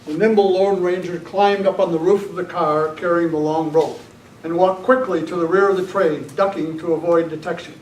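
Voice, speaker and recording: A man's voice: high-pitched, fairly even intonation, normal speed. A man reading scripted narration as the announcer of an old-time radio show re-enactment. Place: club meeting room, live performance. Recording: echoey noisy meeting room, distant mic.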